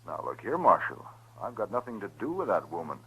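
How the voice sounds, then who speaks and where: A man's voice: sits low, very animated, brisk, fairly bright tone, slightly rough, heavily acted. A man playing a slippery, defiant American card-game operator in a radio western, evasive and protesting. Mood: indignant, protesting. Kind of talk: 1950s radio western acting. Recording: muffled old radio recording.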